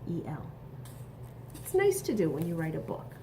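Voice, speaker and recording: A woman reading a picture book aloud to young children, expressive and instructive, pausing to ask questions and add asides. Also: deep voice, background noise. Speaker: storybook reader.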